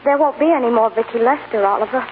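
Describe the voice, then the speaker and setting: A woman's voice: on the high side, fast talker, natural voice. Woman acting a tender young star, tinny radio. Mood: resolute, sorrowful. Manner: earnest, heartfelt. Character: tender, self-sacrificing young film star wife. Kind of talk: 1940s radio melodrama acting. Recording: noisy, tinny 1940s radio broadcast.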